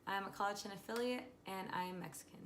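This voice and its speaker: A woman's voice: medium pitch, fast, a bit bright, slight background noise. A young woman from California, a student answering interview questions while thinking aloud and sounding unsure. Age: 20s.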